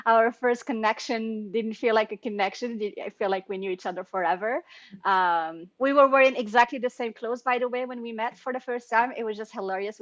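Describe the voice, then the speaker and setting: A woman's voice: mid-range voice, no echo. Effusive woman on a dull call. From Morocco. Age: 40s. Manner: animated and effusive, laughing between phrases. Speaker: business owner and women's leadership coach. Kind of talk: personal introduction in an online circle. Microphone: video call.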